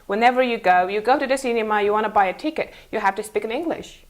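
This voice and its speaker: A young woman from Mongolia with a Mongolian accent, answering casually in halting, self-correcting non-native English. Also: medium pitch. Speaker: interview guest, international school teacher.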